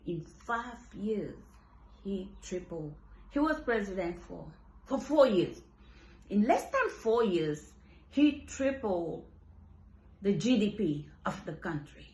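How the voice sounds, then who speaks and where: A woman's voice: mid-range voice, sing-song, slow pace, a little mellow. A woman in her fifties gives an impassioned monologue. She repeats and restarts her phrases for emphasis. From Senegal. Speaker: commentator. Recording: roomy echo.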